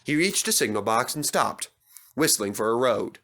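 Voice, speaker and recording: A man's voice: mid-range voice, big pitch swings, normal speed, pushed and bright. A man narrating a children's story as a scripted reading.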